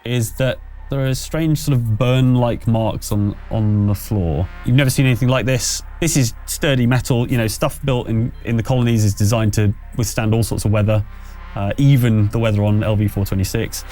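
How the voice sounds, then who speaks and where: Halting male game master narrating, music behind. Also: lowish voice, steady tone, dark tone. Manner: halting, conversational, pieced-together descriptions. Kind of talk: improvised narration of a tabletop horror game.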